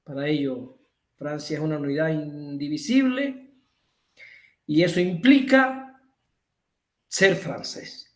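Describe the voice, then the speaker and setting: A man's voice: fairly high pitch, slow pace, long pauses, roomy sound. A man from Cuba with a Cuban accent, giving an emphatic online lecture over a video call, restating his points and correcting himself often. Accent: Cuban Spanish.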